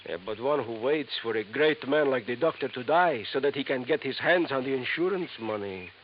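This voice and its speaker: A man's voice: medium pitch, animated, fairly quick, close to an everyday voice. An actor playing a sinister, scheming male professor with polished, formal diction, in 1950s radio drama acting. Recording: dull old radio recording, faint hiss.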